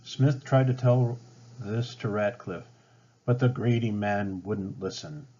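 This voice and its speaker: A man reading a children's storybook aloud with dramatic, expressive narration. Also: fairly low pitch, slow pace. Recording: slightly dull, band-limited sound.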